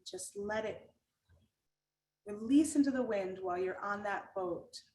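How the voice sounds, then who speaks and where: A woman leading a guided meditation in a calm, gentle manner, giving unhurried instructions with long pauses. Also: mid-range voice. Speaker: meditation guide and art teacher. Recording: roomy echo with music underneath.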